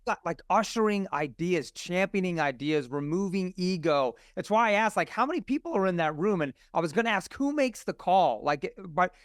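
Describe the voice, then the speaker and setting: Clear, higher-voiced man chatting earnestly. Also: expressive, moderate pace, hardly any pauses, no echo, clean studio sound. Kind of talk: casual podcast chat.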